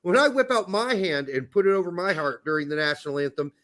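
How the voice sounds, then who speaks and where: A man with a high, very animated voice rants through a sports debate. He swears freely and presses his points hard. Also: fast. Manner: profane, ranting, emphatic. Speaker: podcast co-host.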